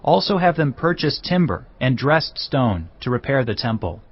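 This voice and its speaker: A man's voice: medium pitch, very animated, moderate pace, slightly rough. A man playing an earnest, commanding king of Judah with formal, emphatic delivery in a scripture drama. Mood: firm, instructing. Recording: slightly dull, muffled audio.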